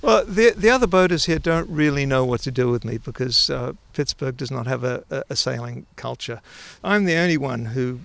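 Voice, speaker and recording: Australian man, 40s, gravelly voice, outdoors at a dock, keen and chatty. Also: mid-range voice, animated, moderate pace, a bit bright. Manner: enthusiastic, restarts sentences. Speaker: interviewee, river sailor. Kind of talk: casual outdoor interview.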